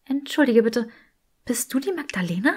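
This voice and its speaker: A woman as an earnest, high-voiced young witch. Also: big pitch swings, moderate pace. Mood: curious. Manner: hesitant, breathy urgency. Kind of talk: audiobook character acting.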